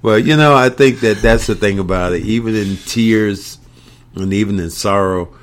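A middle-aged man, a sports broadcaster, talking sports in a reflective way, often pausing on fillers. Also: on the low side. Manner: reflective, halting with frequent fillers. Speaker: sports broadcaster and podcast co-host. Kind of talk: casual sports talk.

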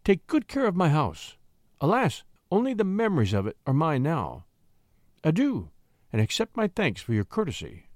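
A man's voice: a little high, huge pitch swings, normal speed, a little mellow, no room sound, clean studio sound. A man putting on a coquettish young French lady. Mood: coaxing.

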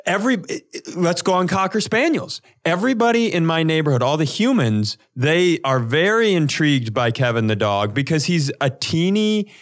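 Higher-pitched man swapping comic stories. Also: moderate pace, hardly any pauses. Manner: animated, sweary, acts out quotes. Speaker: podcast co-host. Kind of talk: casual comedic storytelling chat.

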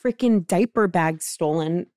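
A woman's voice: fairly low pitch, unhurried, warm tone, dry recording. American woman in dry, casual banter. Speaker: podcast co-host.

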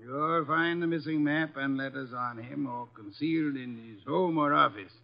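An actor playing a fat, shrewd, commanding middle-aged detective with formal, precise diction in a 1950s radio drama. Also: male voice, a little high, moderate pace, gravelly. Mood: accusing, forceful. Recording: dull, lo-fi vintage radio recording.

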